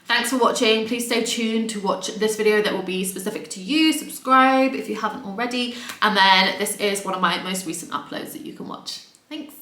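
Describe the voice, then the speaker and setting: A woman's voice: medium pitch, moderate pace, hardly any pauses, a bit bright, echoey room. A woman in her late twenties, a vlogger talking candidly in a casual get-ready-with-me monologue, with exasperated asides. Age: late 20s.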